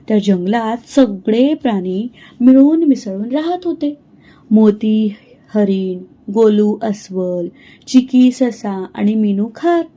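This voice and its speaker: A woman narrating a children's cartoon moral story in a slow, gentle, clear way. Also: a little high, dull audio.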